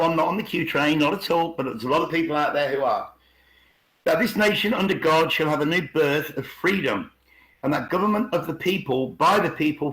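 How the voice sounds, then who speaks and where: A man's voice: on the high side, fairly bright tone. A man hosting a rambling conspiracy talk, reading bits aloud and repeating words as he halts and checks for agreement. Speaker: podcast host. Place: online video call. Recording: roomy, echoey video call.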